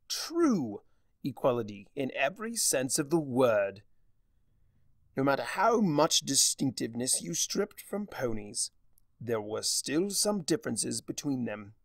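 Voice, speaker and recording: A man's voice: medium pitch, sing-song, takes it slowly, vocal fry, dry recording, heavily acted. A man voicing a gleefully mad, theatrical spirit of chaos who lectures in a smug, mock-cheerful way, in a story reading. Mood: gleeful lecturing.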